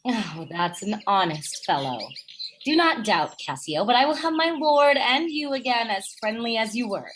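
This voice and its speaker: A woman playing an earnest, affectionate, persistent noble wife in a Shakespearean radio play, expressive and pleading. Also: on the high side, normal speed, a little hiss or hum. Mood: reassuring, earnest.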